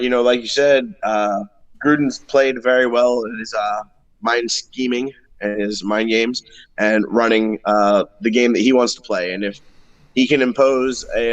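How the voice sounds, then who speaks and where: A man chatting about sports as a guest on a remote call, in a hesitant, tentative manner. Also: medium pitch. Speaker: guest panelist. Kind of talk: casual sports discussion. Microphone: remote livestream call. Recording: dull lo-fi audio.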